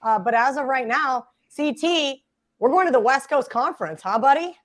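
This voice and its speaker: Raspy-voiced woman hosting a betting podcast. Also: high voice, normal speed. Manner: lively, joking, chatty. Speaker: podcast host. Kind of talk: sports betting podcast chat.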